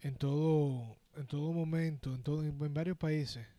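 A man's voice: on the high side, steady tone, moderate pace, warm tone, no echo. A man with a Dominican Spanish accent chatting casually as a podcast co-host, slangy and joking, with some swearing. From Dominican Republic. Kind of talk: casual chat.

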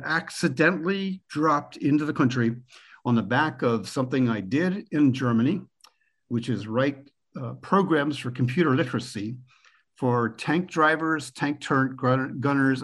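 A man in his sixties from Pennsylvania with an American accent, a guest on a podcast telling relaxed, rambling stories with the odd chuckle. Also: medium pitch, breathy edge. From Pennsylvania, USA. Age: 60s. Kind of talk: relaxed conversational storytelling.

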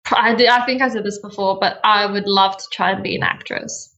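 A young woman with an Australian accent answering interview questions in a bubbly, giggly way. She stretches out words for emphasis and speaks at an everyday pitch, with a relaxed, conversational delivery. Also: brisk, lo-fi sound. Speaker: interview guest. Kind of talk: casual interview chat.

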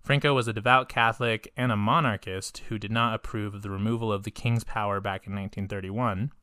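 A woman's voice: very deep voice, steady tone, brisk, fairly bright tone, no room sound, studio quality. A woman co-hosting a podcast in casual chat, rambling with lots of filler words and laughter. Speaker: podcast co-host.

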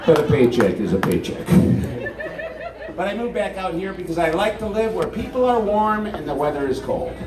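Middle-aged man with a Wisconsin accent, high and bouncy delivery, heard from a distance in a big echoey hall with a loud crowd. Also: big pitch swings, normal speed. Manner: crude, swearing, self-mocking jokes. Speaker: comedian. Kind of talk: stand-up comedy bits. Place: large hall.

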